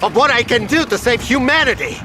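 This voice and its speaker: An actor playing an unhinged, paranoid scientist in an audio drama, formal, self-righteous and menacing. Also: male voice, extremely high pitch, lively intonation, a little fast, background noise. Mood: furious, ranting. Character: unhinged, paranoid male scientist doctor holding others at gunpoint.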